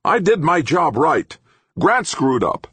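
A man's voice: deep voice, huge pitch swings, a little fast, creaky, husky, dry recording, pitched above the performer's own voice, heavily acted. A man voicing a brash, spiteful engineer in an audiobook, whining, defiant and sneering. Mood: furious, whining. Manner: defiant, sneering, screechy when angry. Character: lanky, brash, spiteful male engineer, whining and blame-shifting.

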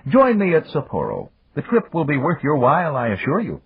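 A man voicing an older Japanese industrialist who is round, calm and philosophical, speaking in a measured, courteous, gently lecturing way. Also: on the high side, vocal fry, a little hiss or hum, voice pushed up, exaggerated voice. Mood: measured, amiable. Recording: tinny, phone-like lo-fi.

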